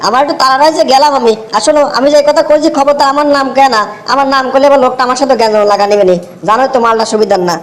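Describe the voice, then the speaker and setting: A man's voice: very high-pitched, fairly even intonation, very fast, hardly any pauses. A man voicing a fawning, scheming village henchman in a comic cartoon, chatty and cajoling, with a rural Bangladeshi Bengali accent. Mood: feigned concern.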